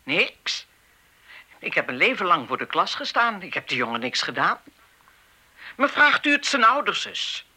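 A woman's voice: lowish voice, sing-song, moderate pace, long pauses, sharp tone, slightly rough, exaggerated voice. A woman playing an embittered, guilt-ridden retired schoolteacher in a radio play, stern and lecturing in manner. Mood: defensive, indignant. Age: older. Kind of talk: Dutch radio play acting.

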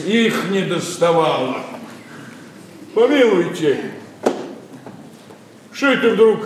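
A pompous blustering old nobleman. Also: far from the mic. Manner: booming, scolding, theatrical. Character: pompous older nobleman, stern blustering father. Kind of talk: classic stage verse acting. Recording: echoey stage from afar, faint noise.

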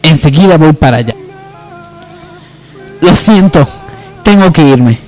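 A man's voice: on the high side, lively intonation, a little slow, a little mellow, soft background music, low-quality recording. A man playing an earnest young heir and bereaved son in a radio soap. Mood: hurried, apologetic. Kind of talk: radio soap opera acting.

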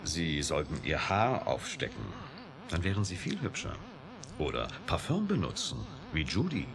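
An actor playing a provocative, sardonic scientist in a radio drama, teasing and needling with a defiant, flirtatious streak. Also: male voice, very deep voice, wildly swooping pitch, normal speed, a crowd in the background, full character voice. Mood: teasing, probing. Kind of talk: 1980s German radio drama acting.

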